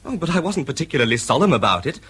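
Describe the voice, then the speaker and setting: An actor playing a breezy, cheeky, unrepentant young English son in a British radio drama. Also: male voice, fairly high pitch, very animated, very fast, fairly bright tone, slightly rough, heavily acted.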